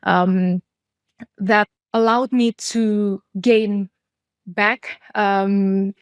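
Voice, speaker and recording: A woman's voice: medium pitch, little pitch movement, drawn-out words, smooth, no room sound. A woman from Indonesia in her thirties, a product leader answering interview questions candidly and reflectively, with frequent fillers and restarts. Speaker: guest, chief product officer.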